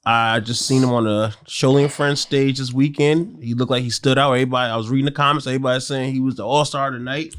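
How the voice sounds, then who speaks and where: Male interview host, quick and blunt, mid-range voice, jokey casual chat. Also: mid-range voice, fairly even intonation, fairly bright tone. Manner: blunt, joking.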